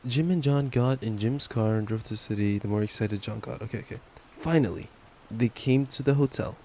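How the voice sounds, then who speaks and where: A man's voice: medium pitch, brisk, mellow. Young guy doing boyfriend asmr bedtime reading, playful n stumbly, rainy noise behind him. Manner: affectionate, playful, stumbling reader given to giggly asides. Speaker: ASMR roleplay performer playing a boyfriend. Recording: noisy track with ambient rain sounds. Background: rain ambience.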